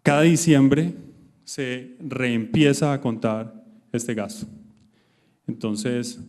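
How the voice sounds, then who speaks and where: A man introduces a guest at an academic conference. His voice is mellow and dark at a middling pitch. He pauses often and restarts his sentences. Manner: hesitant, many filler sounds and restarts. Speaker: conference host introducing a speaker.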